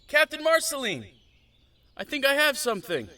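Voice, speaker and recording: Eager, high-voiced young knight recruit. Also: male voice, wildly swooping pitch, moderate pace, sharp tone, no room sound, heavily acted. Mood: eager, calling out. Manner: earnest, hesitant. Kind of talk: audio drama acting.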